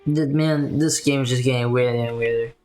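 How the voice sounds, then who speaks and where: A man's voice: medium pitch, steady tone, a little fast, a little mellow, soft background music. A man giving casual live reactions as a game streamer.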